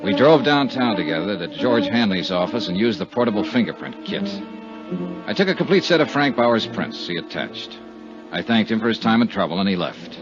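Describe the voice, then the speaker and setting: An actor playing a cool, persistent, polite insurance investigator in a 1950s radio detective drama. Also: male voice, lowish voice, big pitch swings, a little fast, over music. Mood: matter-of-fact narration. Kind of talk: 1950s radio detective acting and first-person narration. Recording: dull 1950s radio recording.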